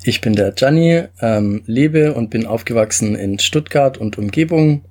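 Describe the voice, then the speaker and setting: A man with a husky, slightly breathy voice at an ordinary middle pitch. He explains his tabletop game step by step in a calm, patient way, pausing often on filler sounds. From Italy. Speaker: game designer, guest.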